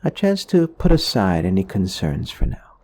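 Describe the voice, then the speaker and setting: A man leading a guided meditation in a soothing, gentle, explanatory way, leaving pauses between phrases. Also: low voice, huge pitch swings.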